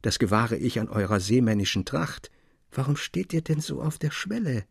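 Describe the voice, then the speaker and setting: A man voicing a sweet, welcoming young maiden in a storybook, speaking in a gentle, friendly, formal manner. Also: mid-range voice, lively intonation, moderate pace, vocal fry, slightly rough, no room sound, studio quality. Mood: sweet, inviting.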